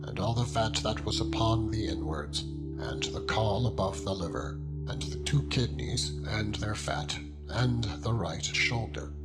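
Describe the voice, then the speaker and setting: A man with a fairly low voice reads scripture aloud as a narrator. His delivery is very slow and solemn, with measured phrasing suited to a dramatized Bible reading. Prominent plucked-string music plays behind him throughout. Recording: voice over loud background music. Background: loud plucked-string instrumental music.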